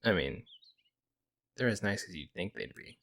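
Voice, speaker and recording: A man playing a friendly young werewolf, a lone wolf who talks casually and teases gently in an ASMR audio roleplay. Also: on the low side, expressive, normal speed. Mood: casual, musing. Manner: casual, hesitant musing, gently teasing. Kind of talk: ASMR audio roleplay, intimate conversational acting.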